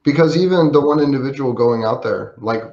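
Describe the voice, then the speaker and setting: A man's voice: mid-range voice, brisk, a little mellow. Earnest man on roomy, muffled call. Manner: earnest, persuasive. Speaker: activist guest. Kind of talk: casual livestream chat. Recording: roomy, dull video call audio.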